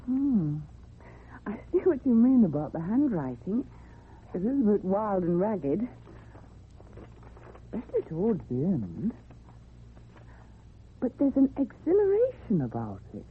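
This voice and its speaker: An actress playing a thoughtful, affectionate wife with a husky voice in a radio drama. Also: female voice, medium pitch, wildly swooping pitch, unhurried, pauses often, a little hiss or hum. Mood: thoughtful, intrigued. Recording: muffled, narrow old radio recording.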